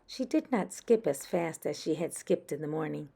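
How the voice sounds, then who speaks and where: A woman's voice: low-pitched, animated, moderate pace, vocal fry, mellow, breathy edge. A woman reading a children's story aloud as a storyteller for young listeners.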